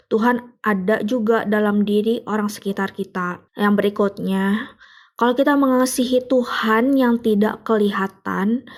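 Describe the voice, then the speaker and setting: A young woman with an Indonesian accent giving a casual spoken devotional, chatty and laughing often, asking her listeners questions. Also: on the high side. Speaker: devotional speaker.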